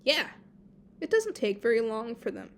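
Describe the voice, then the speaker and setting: A woman's voice: fairly high pitch, big pitch swings, moderate pace, a little hiss or hum. A woman voicing a modest young female student who is polite and mildly grumbly, in a casual, unassuming manner. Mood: casual, curious.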